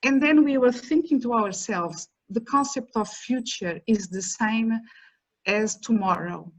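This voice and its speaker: A middle-aged woman academic, whose first language is neither English nor Portuguese, speaking at a roundtable in a measured, emphatic way with repeated words and restarts. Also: on the high side, poor audio. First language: a language other than English or Portuguese. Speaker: academic panelist. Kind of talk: roundtable talk.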